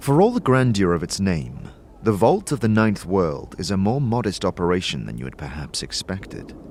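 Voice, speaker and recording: A man narrating a scripted fantasy tale in a measured, theatrical storytelling manner. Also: low voice, sing-song, darkish tone, a little hiss or hum. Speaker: narrator and game player.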